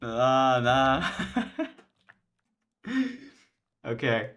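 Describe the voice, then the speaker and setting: A man's voice: mid-range voice, expressive, extremely slow, fairly bright tone. Young man, Finland-Swedish accent, native Swedish, loose rambling streamer delivery. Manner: rambling, restarts often, laughs a lot. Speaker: game developer streaming. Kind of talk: casual live-stream chat while coding.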